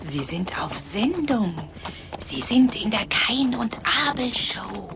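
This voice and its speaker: A woman's voice: mid-range voice, big pitch swings, takes it slowly, creaky, noisy background, heavily acted. An actress playing a teasing, haughty businesswoman in a German radio drama, drawling with theatrical amusement. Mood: smug, confiding. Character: teasing, haughty rich businesswoman pulling the strings.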